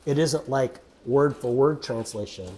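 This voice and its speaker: A man's voice: medium pitch, a little slow, darkish tone, a little hiss or hum. A man hosting a casual faith interview, chatty and affirming, with plenty of fillers. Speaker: podcast host.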